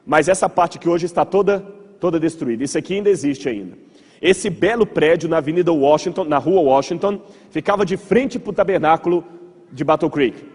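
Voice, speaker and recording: Male Brazilian preacher-lecturer, higher-pitched and slightly husky, conversational storyteller addressing a congregation in a reverberant hall. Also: darkish tone. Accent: Brazilian Portuguese. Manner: conversational, asks the audience rhetorical checks, repeats words for emphasis. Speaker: pastor giving a church history lecture. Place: large hall with audience.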